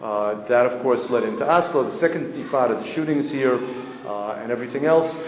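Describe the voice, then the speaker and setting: A man, a community spokesman answering journalists' questions in a measured, matter-of-fact way, pausing to search for words. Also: medium pitch. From United States. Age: 50s. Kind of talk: press interview answers. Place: indoor hall. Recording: echoey hall, faint ambient noise.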